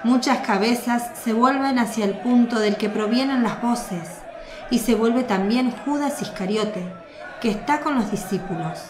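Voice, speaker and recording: A woman's voice: on the high side, measured pace, echoey room, noisy background. Woman narrating a radio drama.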